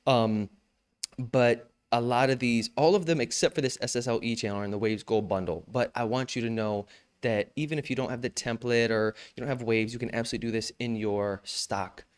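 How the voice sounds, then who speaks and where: A man's voice: mid-range voice, fairly quick, clear, resonant tone. A young man giving a casual, slangy tutorial on mixing vocals, joking about himself as he walks through his settings. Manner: relaxed, slangy, self-joking. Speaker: music production tutor.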